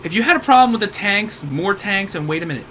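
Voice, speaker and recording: A hoarse man in his thirties hosting a gaming channel, rambling through a casual game sale rundown and often correcting himself. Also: sits high, animated, quick pace, bright, forceful tone, slight background noise. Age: 30s. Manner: rambling, self-correcting, upbeat.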